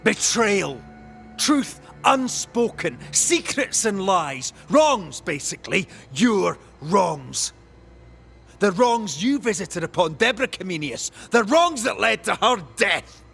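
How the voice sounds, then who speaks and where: Gravelly, weary ghost hunter. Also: male voice, sits high, big pitch swings, fairly bright tone, soft background music, full character voice. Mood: accusing. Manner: measured, emphatic. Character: weary, blunt male ghost hunter, stern and morally accusing. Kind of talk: dramatic video game acting.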